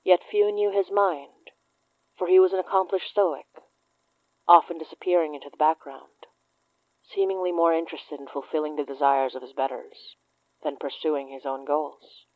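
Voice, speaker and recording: A performer playing a solemn chronicler in a horror audio drama, reading a dossier in a measured, formal, deliberate way. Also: medium pitch, fairly even intonation, dry recording, phone-quality sound, natural voice.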